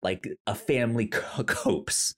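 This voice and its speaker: Podcast co-host, casual chat, halting delivery, medium-pitched voice. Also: fairly bright tone. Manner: halting, many fillers and restarts.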